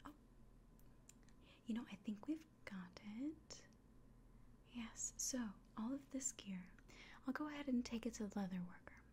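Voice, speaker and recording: A woman playing a kindly, fussing innkeeper in a fantasy ASMR roleplay, whispering gently and murmuring to the listener. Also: on the high side, expressive, unhurried, pauses often, a little hiss or hum. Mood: attentive, fussing.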